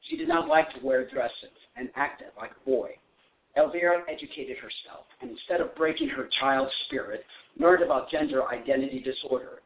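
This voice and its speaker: Earnest man hosting radio. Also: medium pitch. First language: not English. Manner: warm earnest praise, reading prepared questions. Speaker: radio host. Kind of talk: hosting a call-in radio show. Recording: phone-like lo-fi sound.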